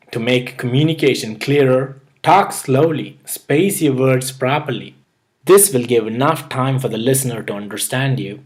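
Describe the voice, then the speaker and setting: A young man with a mild Indian accent, hosting his own channel and reading earnest, step-by-step advice in a scripted tutorial. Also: mid-range voice. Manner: earnest, advice-giving, list-by-list delivery. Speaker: YouTube host.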